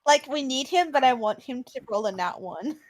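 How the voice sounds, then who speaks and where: A woman's voice: sits high, expressive, normal speed, low-quality recording. A woman playing in a tabletop game, chatting casually and bantering playfully. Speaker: player.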